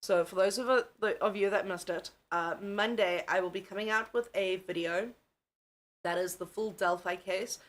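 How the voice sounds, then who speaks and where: A woman's voice: mid-range voice, fairly even intonation, normal speed. A young woman from South Africa with a mixed South African accent, chatting casually and giggling with viewers as a tired livestream host. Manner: giggly, chatty, swears freely. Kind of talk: casual live chat with viewers.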